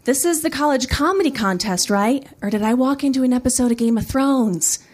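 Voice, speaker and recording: A woman's voice: sits high, fairly quick, a little mellow, lightly acted. A woman voicing a brash, cocky young female stand-up comic with a sassy, exclamatory delivery in a story reading. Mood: breezy. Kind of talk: first-person comic fiction reading.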